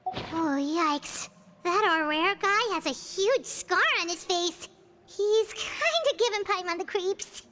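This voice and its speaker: Casting: small childlike fairy game companion, curious and excitable, squeaky high register, very lively swings. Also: female voice, slow pace, slight background noise, heavily acted. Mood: uneasy. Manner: refers to self in third person, expressive. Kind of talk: video game character acting.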